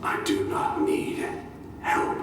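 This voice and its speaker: A man's voice: slow, lightly acted. Guy doing a zealot soldier for a game log, declaiming like scripture, super echoey and distant, bit of noise. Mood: defiant, solemn. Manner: preaching, grave, declamatory. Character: fanatical religious soldier, captive, solemn and unrepentant. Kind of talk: video game audio log acting. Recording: echoing hall, distant mic, faint noise.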